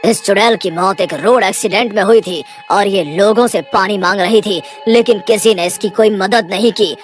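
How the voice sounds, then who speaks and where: A woman's voice: medium pitch, moderate pace, soft background music, lightly acted. A woman voicing a solemn, fatherly tantrik holy man in a cartoon story, grave and instructive. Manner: grave, instructive, addresses others as child.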